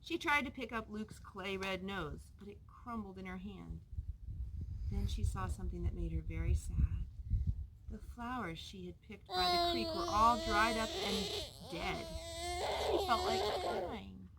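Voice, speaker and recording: A woman reading a children's story aloud in an expressive way, putting questions to her young listeners. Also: mid-range voice, a little slow, vocal fry, very noisy.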